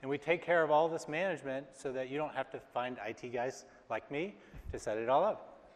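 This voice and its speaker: A man presenting a product on a live stage, relaxed and speaking with frequent fillers. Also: mid-range voice, fairly quick. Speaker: IT engineer presenting. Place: large stage, hall. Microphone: lapel mic. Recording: reverberant hall.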